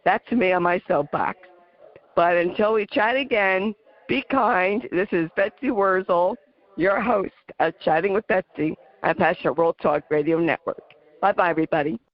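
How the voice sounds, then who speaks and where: A woman with a New Jersey accent hosting a talk radio interview, earnest and halting with many fillers. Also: lowish voice, highs cut off. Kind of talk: casual interview chat.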